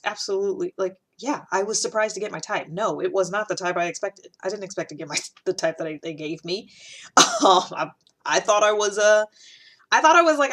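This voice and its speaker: A woman's voice: fairly low pitch, a bit bright. A woman hosting a casual talk, chatty and thinking aloud with many fillers, restarts and light laughs. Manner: laughs often between thoughts. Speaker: host.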